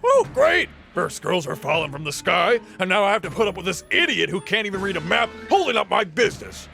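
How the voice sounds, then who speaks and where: A man's voice: high-pitched, big pitch swings, a little fast, creaky, sharp tone, faint music behind, exaggerated voice. A man voicing an irritable, paranoid animal-person fruit vendor in an anime parody, blustering with indignation. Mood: paranoid, indignant.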